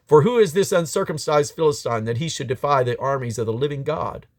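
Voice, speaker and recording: A man's voice: on the high side, brisk. Man reading scripture aloud with solemn emphasis. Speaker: Bible reader.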